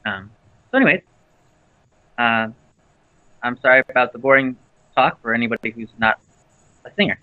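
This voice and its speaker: A man's voice: animated, moderate pace, pauses often, no room sound, narrow, tinny audio. Rambling man on a livestream. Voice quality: naturally low voice. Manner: rambling, with many fillers and restarts. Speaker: livestream co-host. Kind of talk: casual livestream chat.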